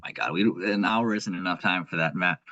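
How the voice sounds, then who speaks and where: Young nepali accent guy, kinda low voice, earnest, thinks out loud, dry close sound. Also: a little fast, dry recording, lo-fi sound. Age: young adult. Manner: earnest, animated, thinks aloud. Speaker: guest, aspiring investor. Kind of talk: casual conversation.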